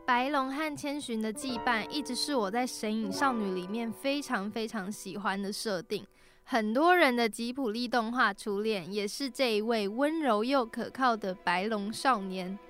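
A woman presents a podcast in scripted narration. Her voice is fairly high, and faint music plays behind her. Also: normal speed. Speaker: podcast host. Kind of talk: scripted narration about animation.

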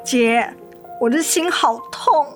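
Casting: devoted, heartsick mother, high plaintive voice, emotional radio drama delivery, music underneath. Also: slow. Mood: hurt. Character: anguished, devoted young mother of sick child. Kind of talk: Mandarin radio drama acting.

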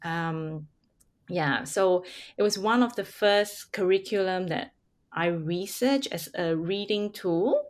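A woman from Singapore, first language Hakka, chatting as an interview guest with many pauses and restarts. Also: on the low side. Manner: hesitant, many ums and restarts. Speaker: guest, parent and blogger. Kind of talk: casual interview chat.

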